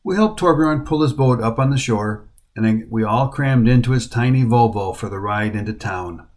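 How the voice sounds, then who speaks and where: A middle-aged American man narrating his hiking trip in a voice-over, with an even storytelling voice and a dry, wry humor. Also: mid-range voice, normal speed. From United States. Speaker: hiking vlogger. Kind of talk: scripted travel narration.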